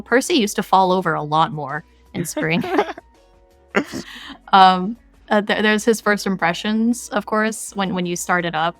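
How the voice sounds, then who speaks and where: Female game developer guest, slightly low creaky voice, giggly, casual podcast chat. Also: dry recording. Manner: giggly, laughs through remarks.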